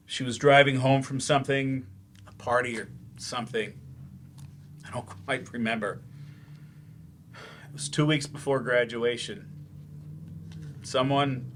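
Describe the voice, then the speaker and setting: A man acts out a once-successful novelist who now teaches, weary and full of regret. His medium-pitched voice confesses haltingly and runs himself down, as in a staged play reading. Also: a bit bright, a little hiss or hum. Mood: grieving, halting. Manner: halting, self-deprecating, confessional. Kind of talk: staged dramatic monologue.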